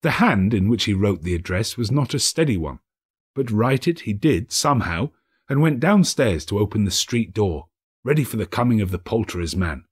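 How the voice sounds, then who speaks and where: A man narrating a classic story as an audiobook, with theatrical, measured storytelling. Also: on the low side, animated, husky. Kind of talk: expressive literary narration.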